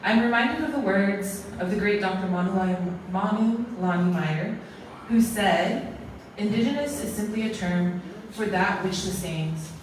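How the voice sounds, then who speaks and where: Woman, formal closing speech, earnest and ceremonial, mid pitch, captured by a distant mic in a big echoing hall with faint noise. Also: unhurried, few pauses. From American Samoa. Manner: earnest, ceremonial, speaks in lists. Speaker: closing speaker at an arts awards event. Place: large echoey museum hall.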